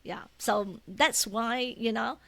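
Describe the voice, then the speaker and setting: High-voiced Malaysian woman telling stories. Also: slow pace, creaky. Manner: animated, often ends phrases with tag questions. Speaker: interview guest, author. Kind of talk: casual storytelling interview.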